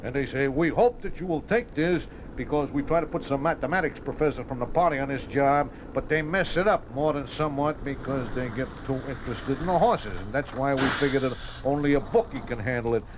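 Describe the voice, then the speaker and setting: An American actor playing a folksy, defensive racetrack bookie in a 1950s radio drama, talking in streetwise slang with stammered repetitions. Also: male voice, medium pitch, fairly quick, hardly any pauses, natural voice. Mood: explaining, self-justifying. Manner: streetwise slangy talk, hesitant repetitions, aggrieved. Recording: noisy old radio broadcast recording.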